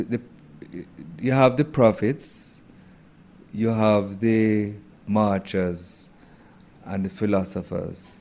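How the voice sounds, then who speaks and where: Thoughtful man, low mellow voice. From Jamaica. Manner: thoughtful, halting, many fillers and restarts. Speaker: interview guest, theologian. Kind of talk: reflective interview answer.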